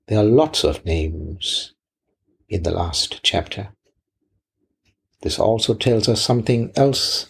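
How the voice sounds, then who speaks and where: A man who is a pastor delivering a sermon in deliberate, measured phrases, often posing rhetorical questions. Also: low-pitched, husky.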